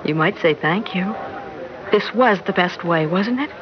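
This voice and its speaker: A woman's voice: lowish voice, animated, measured pace. A woman playing an anxious, guilt-ridden mother in an old radio drama, with a breathless, stammering, melodramatic delivery. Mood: guarded. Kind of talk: 1960s radio drama acting. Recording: dull, hissy old radio recording.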